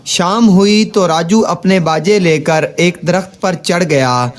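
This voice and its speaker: High-pitched, slow male children's story narrator.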